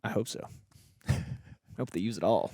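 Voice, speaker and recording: A man's voice: fairly low pitch, warm tone, no echo. Man rattling off comic lore in a dry, close sound. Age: adult, feels he is getting older. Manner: explains comic lore in quick, rambling run-on sentences. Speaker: co-host of a reaction show. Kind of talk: casual reaction chat.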